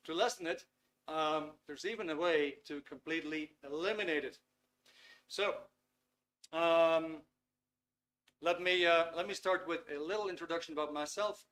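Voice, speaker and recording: A man's voice: fairly high pitch, a bit bright. Chatty Dutch-accented man hosting a webinar. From Netherlands. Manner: chatty, filler-heavy, reads chat messages aloud. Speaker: webinar host. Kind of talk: live webinar lecture. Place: small warm studio under lights.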